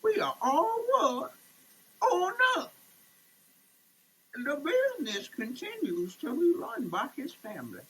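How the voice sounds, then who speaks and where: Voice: high voice, wildly swooping pitch, slow, long pauses, a bit bright. A performer giving a comedic dramatic reading of label text, theatrical, moving between declaiming and muttered asides, choking as water soaks them. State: choking, coughing, gasping while being soaked with water. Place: shower, indoors. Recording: noisy room with running shower water.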